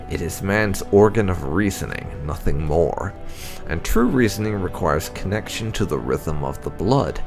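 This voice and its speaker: A man voicing a coldly sinister, self-assured blood mage scholar, declaiming gravely from a fantasy game text. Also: low voice.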